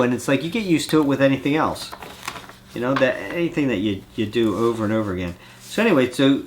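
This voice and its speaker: Congested male vlogger with a cold, mid-pitched, rambling and chatty. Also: slight background noise. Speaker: vlogger reading fan mail. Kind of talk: casual chat.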